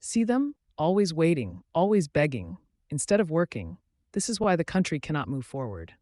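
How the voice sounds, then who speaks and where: A man's voice: on the high side, wildly swooping pitch, moderate pace, darkish tone, no room sound. A man voicing an arrogant, dismissive rich chief in a folktale, speaking with scorn. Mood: scornful.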